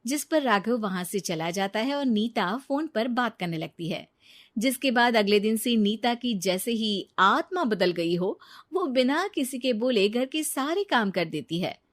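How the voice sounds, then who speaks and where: A woman reads a scripted story as its narrator. Her voice sits fairly high, and her pitch moves in lively swings through the sentences. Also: unhurried.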